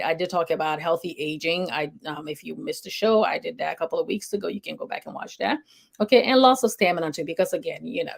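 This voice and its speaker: A woman's voice: on the low side, creaky. A middle-aged woman hosting a health show, talking informally and rambling, with frequent "okay" and "you know" asides. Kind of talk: informal health talk to an audience.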